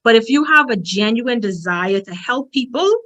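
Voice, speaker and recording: A woman's voice: fairly high pitch, expressive, normal speed, pushed and bright. A woman from the Bahamas with a strong Bahamian accent, giving a direct, emphatic motivational presentation as a conference speaker.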